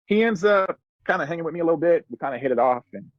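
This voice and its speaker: A man's voice: fairly high pitch, animated, racing, no echo, dull audio. Dry-sounding, laid-back man in his thirties. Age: 30s. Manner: laid-back slangy speech, earnest and reflective. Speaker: musician guest. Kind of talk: casual podcast storytelling.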